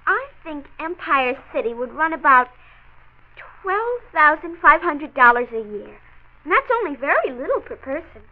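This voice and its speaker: On a slightly dull old radio recording, a teenage granddaughter with a very high, girlish voice. She is eager, pert and earnest, in the manner of 1940s radio drama. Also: very animated, normal speed.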